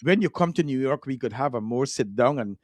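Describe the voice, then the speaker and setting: A man's voice: medium pitch, lively intonation, brisk, no echo. A man from Guyana, hosting an interview over a remote call in an upbeat, encouraging way, often repeating himself. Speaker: show host.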